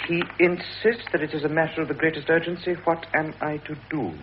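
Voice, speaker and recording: A man's voice: fairly high pitch, level tone, fairly quick, natural voice. An actor playing a proud, stern king in a vintage radio drama. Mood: musing. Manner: regal, imperious. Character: proud, stern French king demanding obedience. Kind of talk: 1950s British radio drama acting. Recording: muffled vintage radio recording, noisy.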